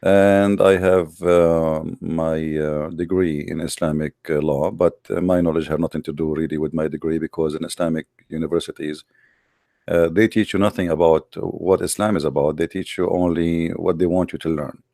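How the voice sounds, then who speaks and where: A Middle Eastern man with an Arabic accent, a guest on an audio call, talking haltingly with many fillers. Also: rumbling low, fairly even intonation, gravelly, mellow, no room sound, clean studio sound. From Middle East.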